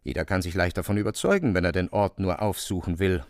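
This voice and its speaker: Ein Schauspieler spricht in einem Hörspiel den leidenschaftlich beteiligten Autor-Erzähler, theatralisch, rhetorisch und mit dramatischen Pausen. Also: male voice, low voice, no room sound, clean studio sound. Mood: impassioned, rueful. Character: male author-narrator, passionately involved storyteller. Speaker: narrator. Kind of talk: literary radio drama narration.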